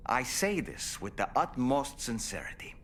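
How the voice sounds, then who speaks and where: A thoughtful scientific adviser in a video game. He speaks formally and articulately at a medium pitch with lively inflection, over faint noise. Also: normal speed. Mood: sincere, reflective. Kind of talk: video game dialogue acting.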